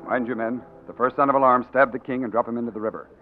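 An actor playing a steady military colonel giving clipped orders in a 1940s radio drama. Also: male voice, mid-range voice, racing, faint music behind, close to an everyday voice. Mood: commanding. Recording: muffled old radio recording, loud hiss.

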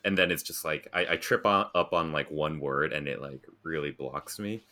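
A man's voice: rumbling low, normal speed, bright, forceful tone. An American man telling stories in a casual, informal and self-deprecating way, as a guest in a conversation. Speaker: interview guest, graphic designer.

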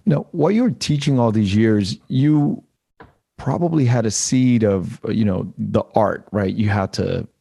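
A man's voice: on the low side, unhurried, vocal fry, mellow, husky. A man interviewing someone in a conversational way, feeling his way through questions and rephrasing them as he goes. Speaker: interviewer, host.

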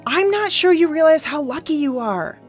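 A woman as a serious, high-voiced camp survivor. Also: expressive, soft background music. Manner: measured, serious, reasoning. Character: conflicted, level-headed female friend in a survivor camp. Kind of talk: naturalistic audio drama acting.

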